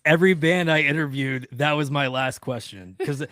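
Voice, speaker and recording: A man, roughly in his thirties or forties, telling anecdotes in casual, slangy, animated chat. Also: on the high side, normal speed, slight background noise. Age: 30s or 40s. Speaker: radio interviewer on a podcast panel.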